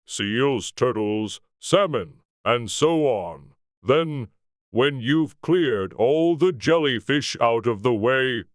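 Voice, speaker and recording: A man's voice: mid-range voice, lively intonation, dry recording, studio quality. A man voicing a mournful, sentimental mock turtle with a plaintive manner in a dramatized storybook reading. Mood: earnest. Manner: slow, plaintive. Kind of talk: dramatized audiobook character.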